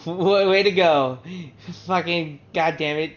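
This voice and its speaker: A man's voice: a little high, slow. A man streaming a game live, reacting casually with rambling remarks, laughs and swearing. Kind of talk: casual live gameplay commentary.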